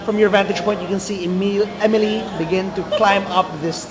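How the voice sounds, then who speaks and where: A man narrating as dungeon master of a tabletop game, playful and quick to laugh. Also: high voice, little pitch movement, a crowd in the background, rough recording.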